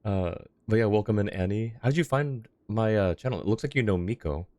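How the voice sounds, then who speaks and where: Deep-voiced male streamer, close and dry. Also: expressive, brisk, warm tone, no echo. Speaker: live game streamer. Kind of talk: casual livestream chat and game commentary. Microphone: close streaming microphone.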